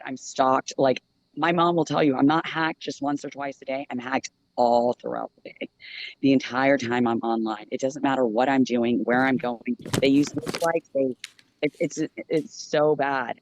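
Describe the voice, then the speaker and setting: A man's voice: a little high, dry recording, highs cut off. A man speaking as a guest panelist, telling a long personal account earnestly, with many fillers and restarts.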